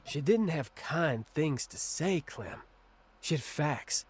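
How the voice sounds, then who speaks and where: A man acting an angry, ashamed younger brother in a video game cutscene, with a halting, bitter, confessional delivery. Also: a little high, lively intonation, husky, full character voice. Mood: ashamed, earnest. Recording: music under the voice.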